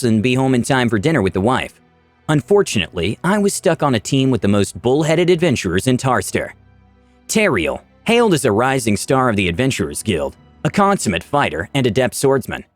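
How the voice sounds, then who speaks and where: Expressive male storyteller, very lively pitch. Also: no room sound. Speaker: narrator of a storytelling channel. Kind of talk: dramatic scripted story narration. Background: music.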